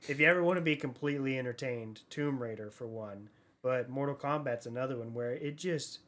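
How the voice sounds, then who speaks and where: A man's voice: mid-range voice, fairly quick, a bit bright. A man co-hosting a podcast, talking casually about movies in an informal, rambling way. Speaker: podcast host.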